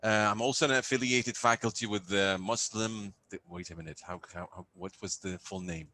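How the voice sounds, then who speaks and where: A man's voice: fairly low pitch, fairly even intonation, fairly quick, bright, forceful tone, a little hiss or hum. A man from Iraq, a university faculty member, introducing himself on a video call with many fillers and restarts. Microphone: computer microphone on a video call.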